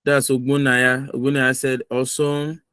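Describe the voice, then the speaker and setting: A man runs a mock visa interview over a video call and gives students firm, lecturing feedback. His voice sits at a middle pitch and sounds dry and close. He repeats his points to drive them home. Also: flat delivery, moderate pace, a bit bright, clear, resonant tone, no room sound.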